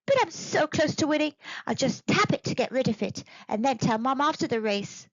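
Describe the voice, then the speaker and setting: A woman voicing an eager, anxious young girl in a storybook, with an earnest, childlike, expressive manner. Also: high-pitched, moderate pace, no echo, highs cut off. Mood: tempted.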